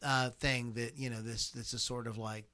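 A man's voice: mid-range voice, little pitch movement, moderate pace, sharp tone. A man hosting a casual podcast chat, rambling with lots of fillers and restarts and the odd swear word. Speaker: podcast host. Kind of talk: casual chat, reading lyrics aloud.

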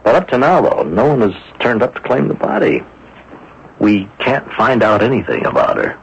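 An actor playing a gruff, skeptical police inspector who grumbles and hesitates in a radio mystery drama. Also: male voice, medium pitch, big pitch swings, normal speed, exaggerated voice. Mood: skeptical, grumbling. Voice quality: gravelly, husky. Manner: grumbling, hesitant with many uhs. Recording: dull lo-fi old radio recording.

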